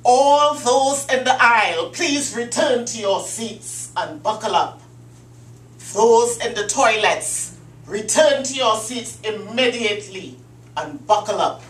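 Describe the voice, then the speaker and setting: A woman's voice: fairly high pitch, takes it slowly, voice pushed up. A woman doing a pilot's cabin announcement. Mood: urgent. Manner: formal announcer-like delivery. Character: male airline pilot making cabin announcements. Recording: roomy, distant mic, faint hum.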